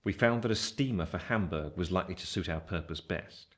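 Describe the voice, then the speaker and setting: An actor playing an earnest Victorian gentleman who narrates his own past in literary, measured storytelling for a radio play. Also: low-pitched. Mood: measured, tense. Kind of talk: first-person dramatic narration, radio play. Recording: clear ambient sound effects behind voice.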